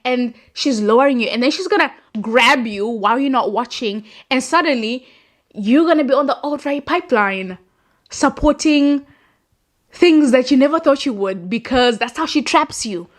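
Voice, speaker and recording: A woman's voice: sits high, animated, moderate pace. A young woman, a non-native English speaker, hosting a chatty, rambling commentary and laughing at her own points. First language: not English. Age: young adult. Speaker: host. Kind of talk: casual commentary monologue.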